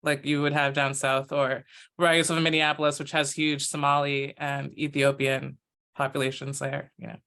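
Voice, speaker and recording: An American woman, a chef and cookbook author, answering interview questions in a thoughtful, measured way over a video call. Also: low-pitched, level tone, fairly bright tone, smooth. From United States.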